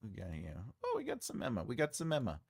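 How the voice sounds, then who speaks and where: A man's voice: medium pitch, huge pitch swings, fast, gravelly, breathy edge, no echo, studio quality. A man hosting a writing livestream, chatting casually and jokingly as he reads and answers viewer comments, rambling with lots of fillers.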